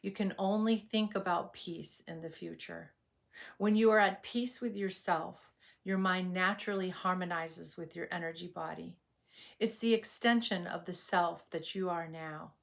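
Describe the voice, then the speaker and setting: A woman teaching meditation in a scripted spiritual talk, with a soothing, measured and reverent delivery. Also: fairly low pitch, steady tone, gravelly.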